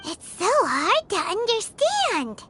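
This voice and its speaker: A woman voicing a tiny, cute, childlike female fairy companion in an anime game, eager and squeaky. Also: huge pitch swings, slow pace, loud music behind the voice, heavily acted. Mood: puzzled. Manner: speaks of herself in third person.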